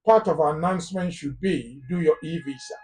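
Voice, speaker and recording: A man's voice: fairly high pitch, fairly quick, darkish tone, recorded from a distance. A man with a Ghanaian English accent giving immigration advice on a live phone-in talk show, emphatic and repeating words for stress. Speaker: immigration lawyer guest.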